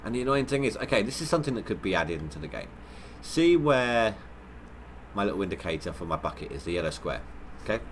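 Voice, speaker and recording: A man's voice: on the low side, big pitch swings, fast, slight background noise. A man with a head cold, sounding nasal, giving rambling, stop-start commentary on his gameplay livestream. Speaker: gaming livestreamer.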